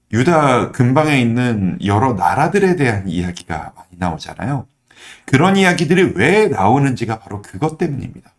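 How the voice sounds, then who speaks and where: Expressive pastor with a throat cold. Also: male voice, medium pitch. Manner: earnest, explanatory, emphatic repetition. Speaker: pastor giving a daily online devotional. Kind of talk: devotional Bible teaching, conversational.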